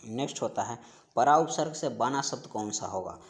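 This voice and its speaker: A man's voice: medium pitch, animated, moderate pace. Man teaching, repeating key terms. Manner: repetitive, instructional, stressing key terms by repeating them. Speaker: Hindi grammar teacher for exam preparation. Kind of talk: explaining quiz questions in an online class.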